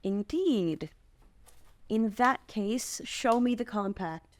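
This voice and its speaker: A woman playing a calm, shrewd princess in a fantasy screenplay table read, speaking formally and diplomatically. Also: mid-range voice, takes it slowly. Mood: firm, composed. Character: calm, stoic, shrewd young princess bargaining with captors.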